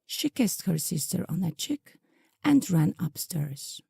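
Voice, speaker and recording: A woman narrates a scripted story in a very dark, soft tone. Her voice sits fairly low and moves expressively, with heavy vocal fry. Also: big pitch swings, slow, dry recording. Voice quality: very husky, creaky, soft.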